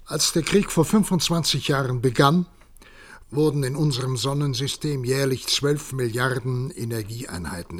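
An actor playing a grave, statesmanlike president in a radio play, speaking in a measured, formal, oratorical way. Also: male voice, mid-range voice, fairly bright tone, slightly rough. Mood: grave, explanatory. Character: grave statesmanlike male president of future humanity. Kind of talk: German radio play acting.

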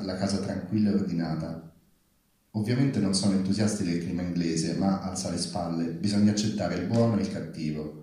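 Male reader for literary prose, low and dark in tone, in a heavily reverberant hall. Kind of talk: reading literary prose aloud. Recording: very echoey live hall.

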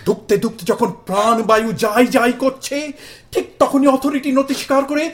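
A man playing a pompous, high-pitched Bengali husband. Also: brisk. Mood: lecturing. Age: middle-aged. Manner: pompous, declamatory, hectoring. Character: self-important, indignant Bengali husband and father, zealous would-be protester. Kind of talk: stage-style radio play acting.

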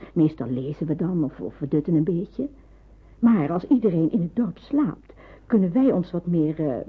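An actress in a Dutch radio drama playing a watchful, practical nurse in hiding, explaining and cautioning. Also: female voice, lowish voice, breathy edge. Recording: narrow phone-like old radio recording.

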